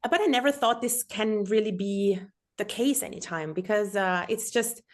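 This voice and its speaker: A woman's voice: mid-range voice, normal speed. A woman from Germany with a German accent, telling her own story casually as a podcast guest. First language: German and Transylvanian Saxon dialect. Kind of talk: casual personal storytelling interview.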